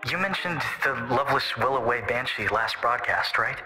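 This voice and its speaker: A man's voice: medium pitch, expressive, bright, forceful tone. A man playing a terse, touchy young man telling a local ghost story. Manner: curt and gruff, then slow storytelling. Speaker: call-in guest storyteller. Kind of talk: fan audio drama acting. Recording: reverberant with music underneath.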